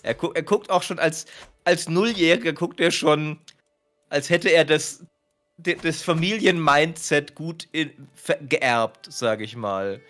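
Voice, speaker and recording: A man's voice: on the high side, a little slow, a bit bright. A man chatting jokingly as he plays a game, wry and playfully grandiose. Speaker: gaming streamer, co-player. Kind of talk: casual joking gameplay chat. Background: game music.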